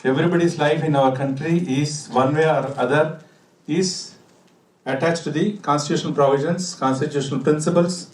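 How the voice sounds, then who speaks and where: A man's voice: a little high, steady tone, normal speed. A man with an Indian accent giving an explanatory presentation, repeating phrases for emphasis. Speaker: company legal executive presenting. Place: indoor function hall with PA. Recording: echoey hall, distant, noisy background.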